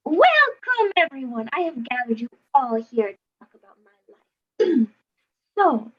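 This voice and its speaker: Pompous monarch for comic puppet skit female performer: whiny, very animated delivery, muffled cheap recording. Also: high voice, unhurried. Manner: pompous, huffy, throat-clearing. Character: pompous, whiny, self-adoring Tsar, a grown man. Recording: dull, choppy lo-fi video call audio.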